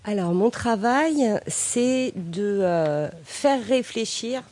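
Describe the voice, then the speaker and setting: Slow, hesitant woman guest explaining her work, dry sound. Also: a little high, lively intonation, darkish tone, no echo. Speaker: guest filmmaker. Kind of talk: radio interview answers.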